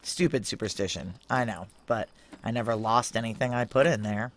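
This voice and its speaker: An actress playing a tough, guarded military veteran in a noir audio drama, blunt, sardonic and profane, with intimate first-person narration. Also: female voice, rumbling low, normal speed. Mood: confessional, self-mocking.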